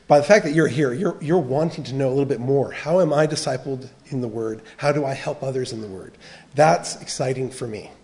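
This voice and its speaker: A man's voice: fairly high pitch, a little mellow. A middle-aged American man from the United States, giving an earnest church teaching talk on counseling, often restarting his phrases. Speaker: biblical counseling trainer.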